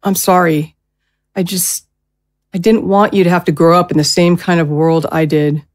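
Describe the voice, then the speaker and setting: A woman playing a gentle, protective middle-aged mother in an audio drama, speaking in a soothing, careful way. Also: on the low side, fairly even intonation, moderate pace, close to an everyday voice. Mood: regretful.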